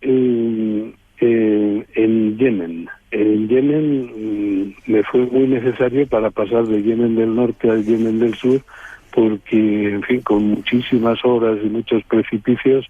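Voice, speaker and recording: A man telling travel anecdotes as an interview guest, halting and digressive, often adding tag questions. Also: lowish voice, steady tone, no echo. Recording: muffled, phone-like narrowband line.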